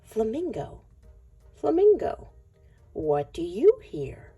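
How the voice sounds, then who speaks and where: A woman reading a picture book to young children in an expressive, sing-song way, often dropping toward a whisper. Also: on the high side, slow, husky, dull audio, faint music behind.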